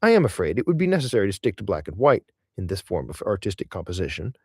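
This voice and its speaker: Expressive lower-pitched man reading essays aloud. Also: quick pace, slightly rough, no echo, studio quality. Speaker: audiobook reader.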